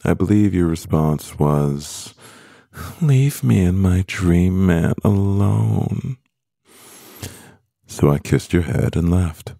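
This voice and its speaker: A man acting a composed, doting CEO boyfriend in an ASMR roleplay, speaking in a measured, gravelly voice. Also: lively intonation, dark tone, dry recording, clean studio sound, heavily acted. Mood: tender, teasing. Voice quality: very deep, gravelly. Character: powerful male CEO boyfriend, composed, doting, gently teasing.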